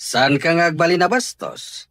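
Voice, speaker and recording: A man's voice: a little high, animated, moderate pace, fairly bright tone, no echo, studio quality. A man playing a stern father of a teenager in an Ilocano audio drama, with a Filipino accent. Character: stern father firm with his teenage daughter.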